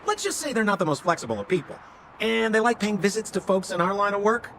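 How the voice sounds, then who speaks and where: A man's voice: high voice, sing-song, quick pace, a bit bright, slight background noise, full character voice. A man voicing a slick, theatrical male con-man relic dealer in a video game, with glib, wheedling salesman patter. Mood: glib, confiding.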